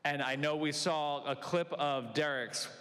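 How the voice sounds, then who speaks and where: A man's voice: on the high side, measured pace, fairly bright tone. Man interviewing in an echoey convention hall. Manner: playful, laughing questions.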